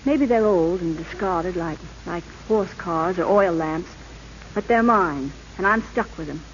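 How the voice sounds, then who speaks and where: A woman's voice: on the low side, unhurried, natural voice. An actress playing a wistful, sincere young woman in an old radio drama, with earnest theatrical delivery on a muffled, hissy recording. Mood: resolute, hurt. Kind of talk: 1930s-40s radio drama acting.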